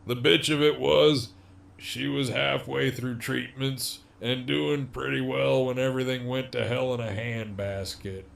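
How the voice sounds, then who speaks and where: A man playing a cocky, sardonic middle-aged captive villain in an audio drama, with a gravelly, teasing drawl. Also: medium pitch, level tone, a little slow. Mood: wry, teasing. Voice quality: gravelly, creaky.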